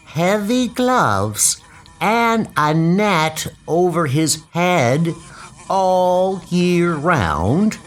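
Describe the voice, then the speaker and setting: A man voicing a scornful, teasing girl in a comic book dub, with a mocking drawn-out delivery. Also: high-pitched, fairly bright tone, pitched above the performer's own voice, full character voice.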